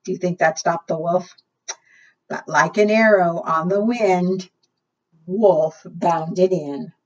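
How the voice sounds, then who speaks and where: Children's librarian, female, expressive read-aloud with questions to little listeners; unhurried, recorded at a distance with dull sound. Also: lowish voice. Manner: asks the young listeners questions.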